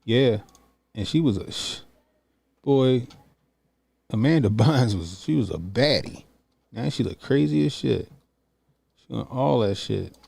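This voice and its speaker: A man's voice: mid-range voice, expressive, unhurried, creaky, long pauses, mellow, breathy edge, no echo. A man, chatting loosely and profanely while reacting to a video. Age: 40s. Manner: loose, profane, rambling with slang. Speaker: commentary streamer. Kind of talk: casual reaction stream.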